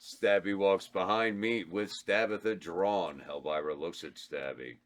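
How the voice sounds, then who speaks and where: Low male voice reading script directions, plain and steady. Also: measured pace. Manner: flat stage directions, occasional self-corrections. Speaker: stage-direction reader.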